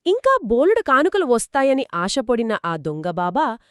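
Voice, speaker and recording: A woman narrating a folk tale in a lively, expressive storytelling manner, trading lines with a co-host. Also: on the high side, normal speed, no room sound, clean studio sound. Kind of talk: scripted two-voice story narration.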